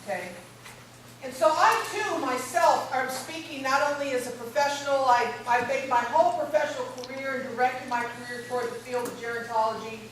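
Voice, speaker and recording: A middle-aged woman gives a relaxed, rambling talk in a strong, fairly high voice. She sounds distant, caught by a mic far across a very echoey college hall. A faint hiss of noise sits under her. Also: fairly quick. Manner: strong voice, loose and chatty with many fillers. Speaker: gerontology lecturer. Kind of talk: informal symposium presentation.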